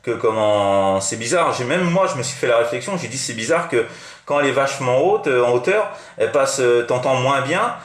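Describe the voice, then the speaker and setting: A French man talks casually as a hobby radio operator, with a middling pitch. He rambles and repeats himself, feeling for his words. The room around him adds a clear echo. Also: expressive, fairly quick. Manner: rambling, hesitant, repetitive. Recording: roomy, echoing room sound.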